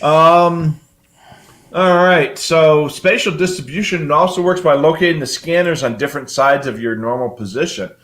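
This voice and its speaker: A man's voice: on the high side, moderate pace, a bit bright. An older man hosting a casual live hobby show, explaining things in a rambling, matter-of-fact way.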